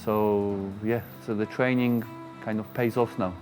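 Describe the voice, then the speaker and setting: A man's voice: on the low side, fairly even intonation, unhurried, darkish tone, soft background music. A man from Poland, a native Polish speaker, giving brief interview answers in non-native English. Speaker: diver.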